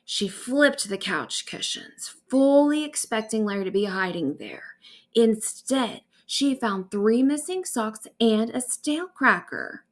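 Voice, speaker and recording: A female storyteller reads aloud at an unhurried, slow pace. Her voice is on the high side, and she delivers the bedtime narration expressively. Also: expressive. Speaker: author reading own stories aloud.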